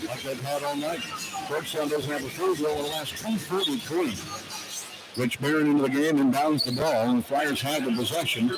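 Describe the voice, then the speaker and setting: Guy doing radio hoops play-by-play, slightly high voice, short clipped calls, really loud arena behind him. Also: fairly quick. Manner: clipped, running description in short phrases. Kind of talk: live basketball play-by-play commentary. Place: indoor basketball arena. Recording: radio broadcast over loud arena noise. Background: loud arena ambience.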